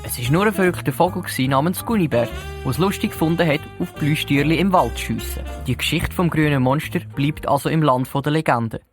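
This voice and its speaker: A man's voice: on the high side, brisk, natural voice. A man with a Swiss German accent narrating a suspenseful detective audio play in a dramatic storytelling manner. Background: music.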